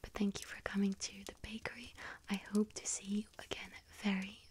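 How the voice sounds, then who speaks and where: A woman doing a whispered ASMR role-play as a friendly young bakery witch, speaking softly and gently with a breathy, hesitant delivery. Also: medium pitch, flat delivery, a little fast. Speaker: ASMR performer role-playing a bakery shop helper.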